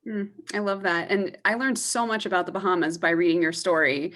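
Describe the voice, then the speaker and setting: A woman's voice: on the low side, fairly even intonation, fairly quick, fairly bright tone. A woman hosting an interview series over a video call, friendly and enthusiastic as she welcomes and questions her guest.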